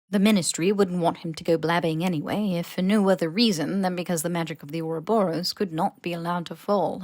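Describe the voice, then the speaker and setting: A woman reading fan fiction as expressive scripted narration, with emotive, dramatic phrasing. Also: lowish voice, dry recording, clean studio sound. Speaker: podfic reader.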